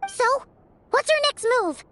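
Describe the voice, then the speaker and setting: A woman voicing a tiny, childlike sidekick in a video game, squeaky, upbeat and caring. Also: unhurried, a bit bright, lightly acted. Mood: curious. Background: prominent background music.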